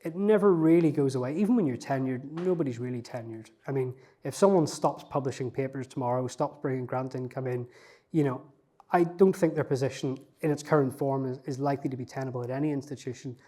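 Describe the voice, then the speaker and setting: A man speaks as an interview guest, thinking aloud in an unassuming, candid way. He often restarts phrases and leans on conversational fillers. His voice sounds warm and relaxed, sitting at an ordinary pitch. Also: fast. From not from Newcastle. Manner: reflective, self-effacing, many "you know" fillers and restarts.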